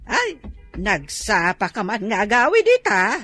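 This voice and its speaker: A woman's voice: medium pitch, wildly swooping pitch, a little slow, bright, forceful tone, husky, faint music behind, heavily acted. A woman acting a deferential, plaintive eatery keeper in an Ilocano-accented radio drama, polite and a bit whiny. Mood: sympathetic, plaintive.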